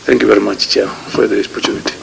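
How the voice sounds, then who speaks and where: A man with a Kenyan accent, a senator questioning witnesses at a committee hearing in a haltingly paced list of numbered points. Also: lowish voice, fairly even intonation, gravelly, a little hiss or hum, low-quality recording. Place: parliament committee room.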